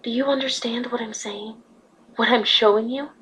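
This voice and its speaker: A woman voicing a wise, motherly pony ruler in a fan fiction reading, speaking patiently and earnestly with a slightly husky voice. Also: a little high, steady tone, normal speed, fairly bright tone. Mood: earnest teaching.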